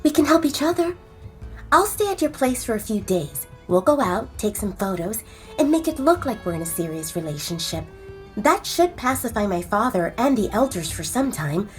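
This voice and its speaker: A woman's voice: fairly high pitch, sing-song, normal speed, soft background music. A woman voicing a blunt, confident young she-wolf fashion model in an audio drama, speaking directly and persuasively.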